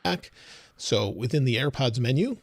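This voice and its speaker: A man walking through new software features step by step, as in a screen-recorded tech review. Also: mid-range voice, moderate pace, dry recording, studio quality. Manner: steady step-by-step explaining.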